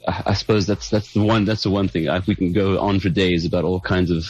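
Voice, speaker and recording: A man's voice: deep voice, steady tone, quick pace, a little mellow, highs cut off, faint music behind. A middle-aged South African man, a band's lead singer, chatting in an interview, thoughtful and hesitant with many restarts. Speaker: band lead singer, guest. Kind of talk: casual interview chat.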